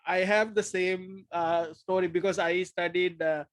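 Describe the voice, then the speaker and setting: A middle-aged man hosting a podcast from home, asking questions in a halting way with many fillers, speaking into a desk microphone on a video call. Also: high voice, steady tone, no room sound. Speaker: podcast host. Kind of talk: casual video-call interview. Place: home room with posters behind.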